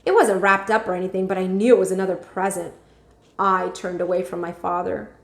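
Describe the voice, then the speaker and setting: A woman narrating a children's story aloud like a teacher, expressively and with clear phrasing. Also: on the low side, steady tone, brisk. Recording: echoey room.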